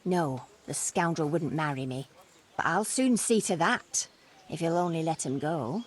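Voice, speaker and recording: A woman's voice: deep voice, lively intonation, a little slow, slightly rough, exaggerated voice. An actress playing a poor medieval peasant mother in a video game, pleading and deferential, with a folksy, indignant streak. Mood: insistent. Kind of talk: video game cutscene acting.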